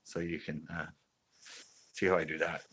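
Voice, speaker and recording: A man's voice: rumbling low, level tone, moderate pace, a bit bright, slightly rough. A man hosting a fishing channel, chatting casually on a live stream, rambling with many fillers and restarts and laughing often.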